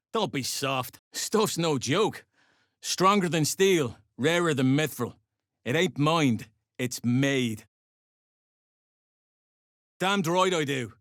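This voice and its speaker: An actor playing a gruff, greedy, foul-mouthed dwarf overseer in a video game, bossy and sarcastic in clipped phrases. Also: male voice, a little high, a bit bright, no echo, clean studio sound, heavily acted. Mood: gruff, guarded, then scornful. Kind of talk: video game character acting.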